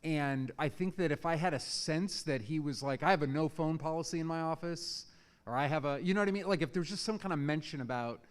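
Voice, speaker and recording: Higher-pitched man giving informal critique. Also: fairly quick. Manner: exploratory, rephrasing, checking listeners follow. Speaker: teacher, audience member giving feedback.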